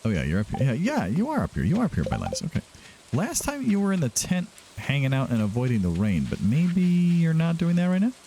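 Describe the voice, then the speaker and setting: A man's voice: fairly high pitch, huge pitch swings, brisk, dark tone, a little hiss or hum. A man doing casual let's-play commentary as a gaming host, chatting to himself and to the game's characters. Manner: talks to himself and to game characters.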